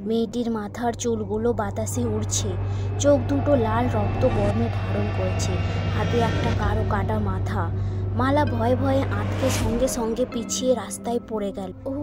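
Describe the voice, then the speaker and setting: A woman's voice: a little high, fairly even intonation, normal speed, loud music behind the voice. A woman telling a scripted story in a dramatic narrating style.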